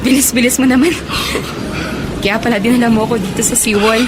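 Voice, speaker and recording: Babaeng gumaganap na kimi at matinis na dalaga. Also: female voice, fairly high pitch, fairly even intonation, fairly quick, noisy background, close to an everyday voice. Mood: coy, giving in. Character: coy, flustered young college woman in love. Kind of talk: Filipino radio drama acting.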